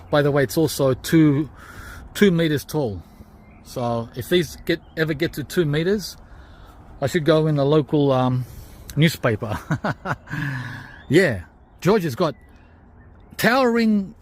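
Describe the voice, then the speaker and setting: A man whose first language is Greek, hosting a gardening vlog outdoors, tired after digging, explaining each step and calling viewers guys. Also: on the high side. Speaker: gardening channel host. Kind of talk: casual vlog talk to camera.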